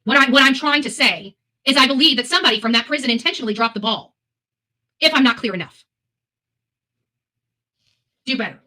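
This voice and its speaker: Woman doing opinionated live commentary, talks fast, bright forceful voice, blunt and sweary. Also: high voice. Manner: blunt, emphatic, with repeated phrases and swearing. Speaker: host of a live commentary show.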